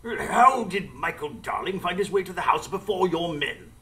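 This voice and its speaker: A man acts a proper gentleman sidekick telling a detective tale on radio. His voice is bright and animated, formal, with an exasperated streak. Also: high-pitched, moderate pace, full character voice. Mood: puzzled, proper. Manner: formal, storytelling, easily exasperated. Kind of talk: first-person narration in live radio drama.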